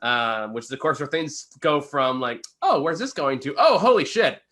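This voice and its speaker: A man's voice: on the high side, expressive, normal speed, a bit bright. Man casually talking through a film review.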